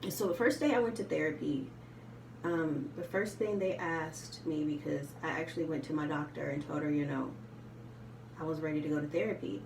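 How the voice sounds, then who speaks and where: A soft-spoken woman with a slightly low voice talks in a loose, rambling way, full of ums and you knows. She sits a little back from the mic in an echoey room with faint ambient noise behind her. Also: moderate pace, vocal fry. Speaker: vlogger. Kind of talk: casual chat while doing makeup. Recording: roomy, slightly distant home recording.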